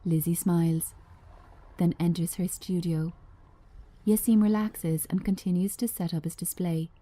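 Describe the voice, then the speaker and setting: Slow, mellow woman narrating a screenplay. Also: on the low side, steady tone, no echo. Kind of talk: scripted narration of stage directions.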